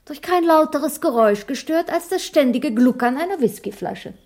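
An actress playing a bitter, sarcastic wife in a 1980s radio drama. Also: female voice, high voice, animated, moderate pace, darkish tone. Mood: bitterly sarcastic. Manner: biting, sarcastic. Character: bitter, scornful wife, failed playwright.

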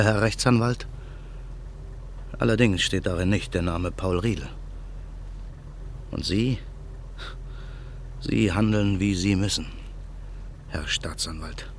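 A man's voice: low-pitched, vocal fry, slight background noise, full character voice. An actor playing a vengeful man who broods in a grim inner monologue in a radio drama. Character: vengeful man waiting in a car at night. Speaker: narrator and protagonist. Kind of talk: 1950s radio drama acting.

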